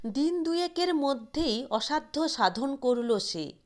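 Female audiobook-style narrator for literary fiction. High pitch, slow measured delivery. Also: expressive. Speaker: audio story reader.

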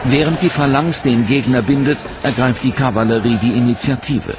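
A man narrating a history documentary in a measured, dramatic scripted delivery. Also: medium pitch, background noise. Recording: voice-over over loud music. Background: music bed.

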